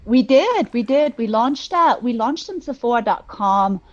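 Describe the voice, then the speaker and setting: A woman's voice: a little high, lively intonation, measured pace, dry recording, muffled recording. A woman from Denmark with a Danish accent speaks as a podcast guest, conversational and enthusiastic, thoughtfully searching for her words. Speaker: guest, beauty brand co-founder.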